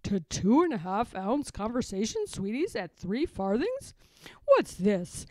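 A woman's voice: mid-range voice, very animated, a little slow, darkish tone, no echo. A woman voicing a stern, suspicious country policeman with an officious, questioning manner in a storybook. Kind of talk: storybook read-aloud.

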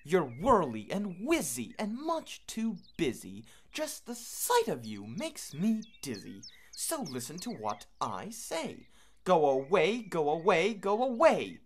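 A grumpy grey storybook bird , acted out by a woman, shrill and high. She scolds and shoos in emphatic singsong rhyme, with big swoops in pitch. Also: huge pitch swings, takes it slowly, husky, exaggerated voice. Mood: exasperated.

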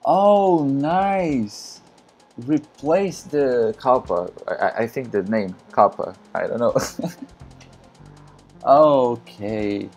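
A man from Brazil whose first language is Portuguese, chatting casually in halting English with many fillers and frequent laughs while streaming a game. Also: mid-range voice, darkish tone, slightly rough, highs cut off, faint music behind. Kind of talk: casual live-stream commentary.

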